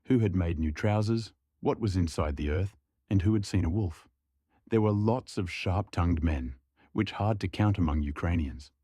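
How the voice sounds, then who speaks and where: Male storybook narrator, low register, close and dry recording, scripted folk-tale reading. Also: normal speed, warm tone, husky, no room sound.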